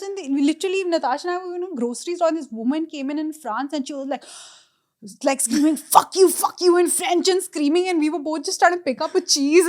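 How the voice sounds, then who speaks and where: High-voiced young woman chatting. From India. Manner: animated storytelling with frequent laughs and quoted voices. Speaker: podcast co-host. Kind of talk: casual chat.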